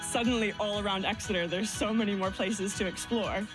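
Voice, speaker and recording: A woman's voice: medium pitch, fairly even intonation, fairly quick, music in the background, low-quality recording. A young woman guest in a radio interview, chatty and upbeat, laughing easily as she talks. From born in the US, raised in the Netherlands. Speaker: guest, PhD student.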